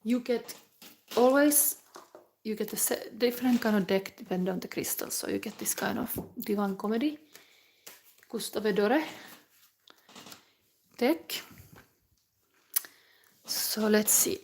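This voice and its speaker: A woman in her forties, giving a live tarot reading in a halting, musing way with soft whispered asides. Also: medium pitch, slight background noise. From Finland. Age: 40s. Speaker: tarot reader.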